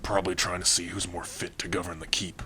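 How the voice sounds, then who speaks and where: A man playing a protective orc warrior, gruff but tender, in a hushed, halting and intimate ASMR roleplay. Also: flat delivery. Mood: calm, gentle. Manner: slow, hushed, halting, earnest. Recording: faint rain and rumble noise. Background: rain.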